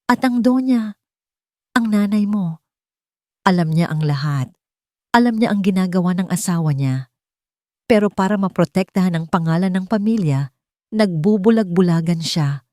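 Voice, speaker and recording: A woman's voice: on the low side, lively intonation, moderate pace, long pauses, darkish tone, no echo, clean studio sound, lightly acted. A woman voicing an elderly, guilt-ridden housemaid in an audio drama, hesitant, bitter and pleading. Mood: bitter grief. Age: older. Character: frightened, guilt-ridden elderly housemaid confessing dark secrets.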